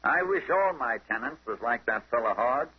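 A man's voice: medium pitch, lively intonation, normal speed, slightly rough. An American man playing an older, talkative landlord with a folksy, colloquial manner, in 1930s radio acting. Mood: chatty. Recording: dull old 1930s radio recording.

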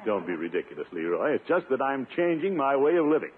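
A middle-aged uncle, played by a man, puffed up and indignant, blusters in 1950s radio comedy fashion. His pitch swings widely. The old broadcast sounds thin and tinny, with hiss behind it. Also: big pitch swings, fast, natural voice. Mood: stubborn. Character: pompous, blustering middle-aged uncle, self-declared rugged individualist.

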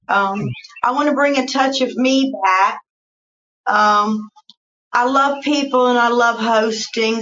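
A woman with a Southern US accent, a guest telling her life story slowly in a casual interview, with frequent fillers. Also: on the high side, muffled recording. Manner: slow, warm storytelling with fillers.

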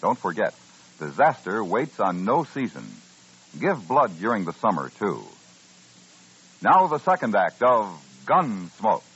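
A man's voice: lowish voice, sing-song, measured pace, a bit bright, husky. A man reading a scripted announcement in the style of a 1950s radio announcer. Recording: dull old radio recording.

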